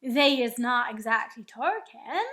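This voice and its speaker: A woman voicing a big, gentle old male giant who talks earnestly in broken grammar. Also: on the high side, measured pace. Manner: broken grammar, folksy, animated.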